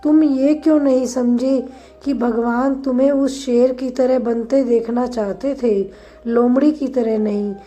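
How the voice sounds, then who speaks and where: A woman storyteller reads a wise holy man from a moral tale. The figure chides and teaches at a slow pace, in a mellow, fairly high voice. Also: steady tone, faint music behind, close to an everyday voice. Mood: chiding, wise. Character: wise male holy man, chiding and instructive.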